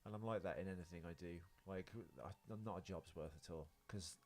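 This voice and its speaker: A man's voice: deep voice, brisk, a little mellow. A British man hosting a podcast, telling rambling anecdotes in an informal, sweary, self-interrupting way. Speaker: podcast host. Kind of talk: casual chat, rambling anecdotes.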